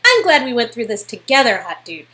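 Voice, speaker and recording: A woman's voice: a little high, big pitch swings, brisk, sharp tone. A woman performing dialogue from an interactive story game in a playful dramatic reading.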